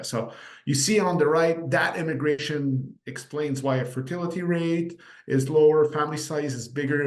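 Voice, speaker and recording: French-Canadian man presenting in a roomy office. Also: medium pitch. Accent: Quebec French. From Quebec, Canada. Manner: relaxed, conversational, frequent "uh" fillers. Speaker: market data presenter. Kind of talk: webinar presentation. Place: office room. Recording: roomy echo.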